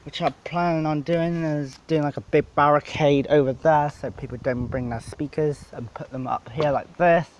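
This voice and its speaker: A man giving a casual, unscripted equipment walkthrough as a DJ, rambling and halting, with informal, blunt wording. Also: a little high, fairly even intonation, dull audio, a little hiss or hum. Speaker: DJ presenting his PA setup.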